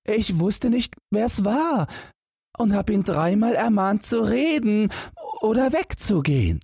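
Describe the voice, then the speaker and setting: A man's voice: sits high, sing-song, takes it slowly, vocal fry, a little mellow, breathy edge, no echo, studio quality, voice pushed up, exaggerated voice. A man voicing a naive, carefree boy in a storybook reading, guileless and earnest in the way he talks. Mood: innocent, earnest. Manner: guileless, matter-of-fact, earnest. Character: naive, carefree boy who wants to learn to shudder.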